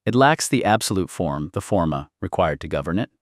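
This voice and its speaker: Man voicing a coldly resolute teenage boy philosopher, low-pitched. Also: big pitch swings, brisk, no room sound, studio quality. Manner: grandiose, emotionless declarations. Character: sixteen-year-old boy philosopher, coldly brilliant and resolute.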